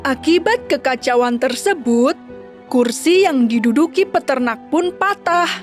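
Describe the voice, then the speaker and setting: A woman reads a children's tale aloud slowly, in a high, gentle voice. Clear music plays under her reading. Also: expressive. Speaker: narrator. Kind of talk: scripted storybook narration.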